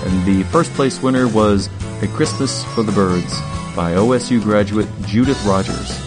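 A man's voice: fairly low pitch, normal speed, over music. A man hosting a program, giving a scripted announcement in the style of radio. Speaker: program host announcing contest winners.

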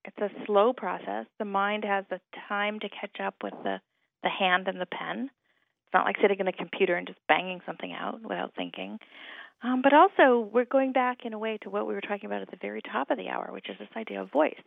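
A woman from Hawaii talks as a guest over a tinny phone line, her voice gravelly with fry. She speaks thoughtfully and articulately, sometimes restarting a phrase. The sound is dry and close, with no room around it. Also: medium pitch, expressive, brisk, dry recording. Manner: articulate, thoughtful, with repeated starts. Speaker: writer and guest. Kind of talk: reflective radio conversation.

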